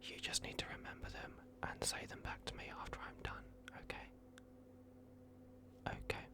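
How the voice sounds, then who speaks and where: A performer voicing a soft-spoken, bumbling vampire doctor in an ASMR roleplay, whispering hesitantly and repeating reassurances. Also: normal speed, natural voice. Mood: calm, instructing. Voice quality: whispered, creaky. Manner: hushed, hesitant, repetitive, reassuring.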